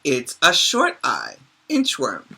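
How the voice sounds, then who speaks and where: A man's voice: medium pitch, wildly swooping pitch, takes it slowly, vocal fry, sharp tone, slightly rough. A male preschool teacher reading a picture book aloud and explaining letter sounds, asking the children questions as he goes. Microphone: home video recording for virtual class.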